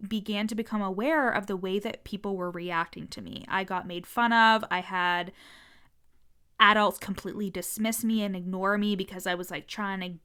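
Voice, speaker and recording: A woman's voice: medium pitch, fairly even intonation, normal speed, pushed and bright, smooth. A woman business coach talking frankly in a casual podcast monologue, swearing freely, with a stutter.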